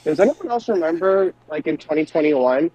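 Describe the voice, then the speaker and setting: A man's voice: fairly high pitch, animated, fairly quick, warm tone, no room sound, poor audio. A man co-hosting a podcast in casual group chat, often posing questions to the others. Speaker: wrestling podcast co-host.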